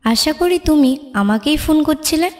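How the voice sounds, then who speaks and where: Actress as young woman's ghost, high voice, plaintive, remorseful, dramatic cartoon delivery, music behind. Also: lively intonation, normal speed. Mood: tender, wistful. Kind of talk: dramatic audio story acting. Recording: music under the voice.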